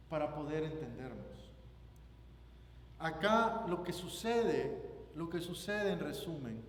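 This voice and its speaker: A male preacher with a Guatemalan Spanish accent and a slightly high-pitched voice delivers a sermon. He speaks in deliberate, emphatic phrases, often repeating them. The sound is echoey, from a reverberant hall with faint noise behind him. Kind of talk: sermon with scripture reading and personal anecdote. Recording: roomy echoing hall, background noise.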